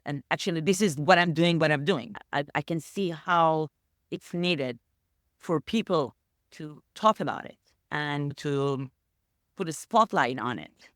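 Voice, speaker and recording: A woman's voice: sits low, a bit bright, dry recording, clean studio sound. A middle-aged woman born in Iran, speaking, is a podcast guest and the mother of a transgender son. She speaks earnestly in slightly broken English. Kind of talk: conversational interview.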